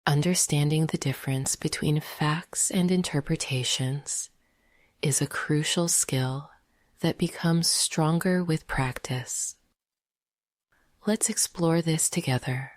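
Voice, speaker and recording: A woman leading a guided meditation in a soft, half-whispered, gentle and soothing voice. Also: low-pitched, takes it slowly, dry recording, studio quality.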